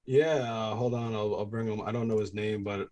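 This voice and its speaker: Low-voiced man in casual banter. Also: level tone, brisk, darkish tone, a little hiss or hum. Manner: blunt, swears freely. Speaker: podcast co-host. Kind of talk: casual banter among friends.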